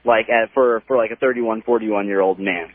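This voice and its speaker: A man's voice: fairly low pitch, fairly even intonation, moderate pace, dry recording. A man in his early twenties with an American accent, chatting casually as a caller on a radio show. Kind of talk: casual phone-in chat. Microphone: telephone. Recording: tinny phone line on radio.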